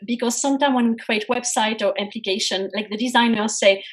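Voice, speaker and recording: A woman's voice: a little high, a little fast, low-quality recording. A woman from France speaking English with a French accent, an earnest career coach telling her story in an interview. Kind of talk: podcast interview.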